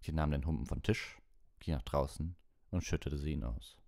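A man with a gravelly, very deep voice reading a story as an audiobook narrator. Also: normal speed, a little mellow, no echo, clean studio sound.

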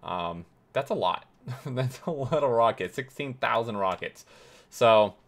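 A man hosting a casual game tour, talking with warm enthusiasm and plenty of fillers, his voice getting hoarse. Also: medium pitch, animated, measured pace. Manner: enthusiastic, rambling with many fillers. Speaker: gaming video host.

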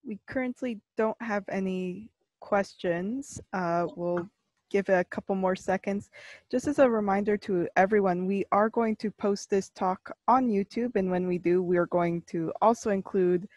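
A woman's voice: mid-range voice, moderate pace, a little mellow, no echo. A woman moderating a live online talk, giving brief, practical announcements. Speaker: event moderator.

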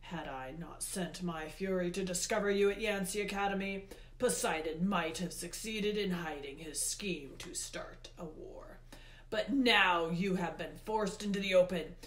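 A woman voicing a furious, imperious male god of the underworld for a storybook, with booming, emphatic, drawn-out words. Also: close to an everyday voice. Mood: accusing anger. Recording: roomy, echoey indoor sound.

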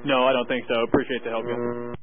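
A man's voice: medium pitch, little pitch movement, a little fast. A man from airport operations speaking politely over a two-way radio. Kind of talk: radio communication. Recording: noisy, phone-like radio transmission.

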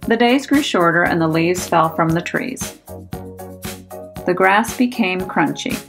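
A woman narrates a children's storybook in a slightly low voice with a noticeable creak. She is expressive and pronounces words carefully for young listeners. Music plays underneath. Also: a little slow. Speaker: storybook reader.

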